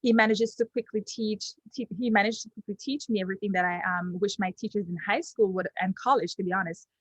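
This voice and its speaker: A young Jamaican woman, Patois her first language, talks through a testimonial in her Jamaican accent at a middling pitch. She halts often, filling gaps with ums and restarting phrases. The recording is dry, with no room sound. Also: steady tone, fast talker, breathy edge, no room sound, poor audio. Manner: hesitant, many ums and restarts. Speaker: client giving a testimonial. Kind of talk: unscripted testimonial.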